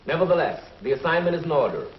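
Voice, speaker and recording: An actor playing a brisk, authoritative male commissioner in a 1950s TV drama, curt and official. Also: fairly high pitch, sing-song, a little hiss or hum. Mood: commanding. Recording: roomy, lo-fi 1950s TV audio.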